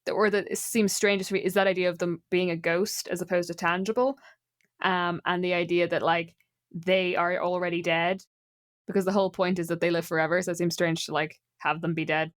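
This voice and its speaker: A woman's voice: lowish voice, flat delivery, brisk, no room sound, studio quality. A woman talking casually about folklore and games, opinionated and given to rambling asides.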